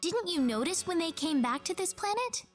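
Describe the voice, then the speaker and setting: A woman's voice: very high-pitched, sing-song, moderate pace, faint music behind. A woman playing an earnest, determined young heroine in a fantasy video game, with a sincere, questioning manner. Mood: concerned, resolute. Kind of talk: dramatic video game dialogue.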